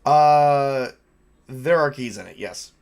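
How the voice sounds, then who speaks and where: A man's voice: medium pitch, takes it slowly, gravelly. A man casually narrating a tabletop role-playing game as game master, improvising and thinking aloud with some hesitation.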